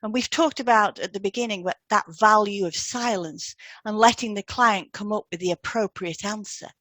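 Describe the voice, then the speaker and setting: A woman's voice: mid-range voice, normal speed, fairly bright tone, no room sound. A woman co-hosting a podcast, reading scripted instructional narration. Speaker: podcast co-host, hypnotherapist.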